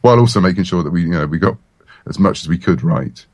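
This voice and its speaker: Low-voiced man, chatty and wry. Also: quick pace, no echo, clean studio sound. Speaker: interview guest, comics and audio writer. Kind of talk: casual interview chat.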